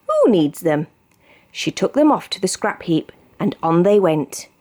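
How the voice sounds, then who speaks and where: A woman with a British accent reading a children's storybook aloud in an expressive narrating style. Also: on the low side, normal speed. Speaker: storybook reader.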